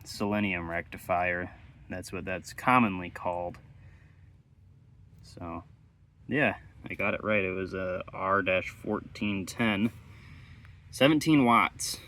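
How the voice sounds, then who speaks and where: A man's voice: deep voice, takes it slowly, pauses often, pushed and bright. A man giving casual, hands-on repair commentary as a host, musing aloud in a laid-back way. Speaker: host of an electronics repair channel.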